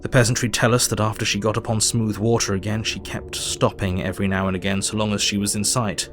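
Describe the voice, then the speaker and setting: A man plays a Victorian doctor narrating his diary in an audio drama. The voice is on the low side and flat in melody, formal and restrained. Music plays clearly behind it. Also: fast talker, natural voice. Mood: worried. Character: sober Victorian doctor keeping an anxious diary.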